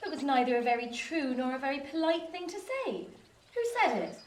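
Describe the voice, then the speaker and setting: A sweet-tempered, sensible country girl in a radio play. Her voice is fairly high and lilts widely, gentle but quietly candid. She sounds roomy and a little distant. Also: lively intonation, brisk. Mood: plain, probing. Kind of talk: radio drama acting. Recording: echoey room, distant mic, faint background noise.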